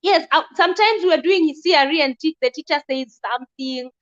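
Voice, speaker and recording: A young woman talks bluntly and with force, her voice pitched very high. The recording sounds dull and lo-fi. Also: fairly quick, a bit bright. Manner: blunt, outspoken, emphatic. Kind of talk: casual discussion.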